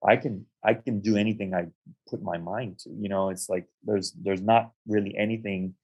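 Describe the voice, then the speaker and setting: A young adult man from the United States, an interview guest, telling his life story in a measured, reflective way with frequent ums and restarts. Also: lowish voice, fairly even intonation, darkish tone, breathy edge, lo-fi sound. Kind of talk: conversational storytelling.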